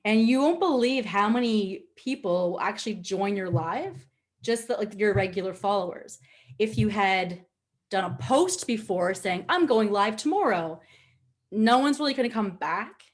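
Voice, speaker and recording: Female webinar instructor, Nova Scotian Canadian accent, mid-pitched voice; animated, informal, candid delivery with a joking streak. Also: normal speed. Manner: animated, candid, chatty, jokey. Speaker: social media instructor. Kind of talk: informal webinar teaching with casual asides.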